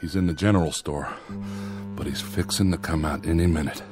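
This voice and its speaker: A man's voice: extremely low pitch, fairly quick, loud music behind the voice. An actor playing an earnest, folksy Old West man organizing a posse, in a radio drama with an American Western drawl. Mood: insistent. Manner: folksy, insistent.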